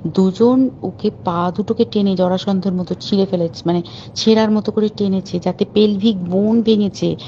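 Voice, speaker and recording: Woman caller, indignant and urgent, mellow voice, muffled phone recording with faint hiss. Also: mid-range voice, steady tone, normal speed. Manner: indignant, urgent, talking to friends informally. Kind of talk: private phone call, recounting events.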